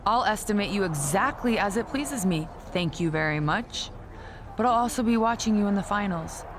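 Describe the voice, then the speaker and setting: A woman's voice: medium pitch, expressive, normal speed, noisy background, natural voice. A woman playing a pompous, entitled female wrestling queen in a video game cutscene, condescending and easily indignant. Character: haughty, self-crowned female wrestling queen, entitled and scornful.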